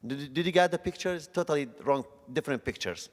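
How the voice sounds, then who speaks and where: A middle-aged man from Northern Iraq with a Kurdish accent and broken English, giving an earnest, halting church testimony. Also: a little high. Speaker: guest speaker. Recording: long church hall reverb.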